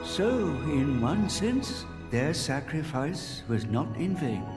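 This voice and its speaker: A male actor playing a composed, philosophical video game character, measured and reflective. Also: a little high, big pitch swings. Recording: long reverb under very loud music.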